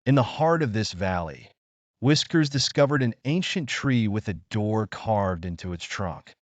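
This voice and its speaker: A man's voice: lowish voice, expressive, unhurried, no echo, highs cut off. A man narrating a scripted children's bedtime story as a storyteller.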